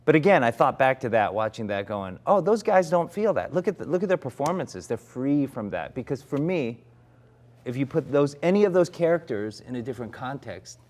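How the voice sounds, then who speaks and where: A man's voice: medium pitch, very animated, brisk, a little mellow. A man in his forties, an actor, reflecting candidly in conversation, often restarting his sentences. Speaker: actor, interview guest.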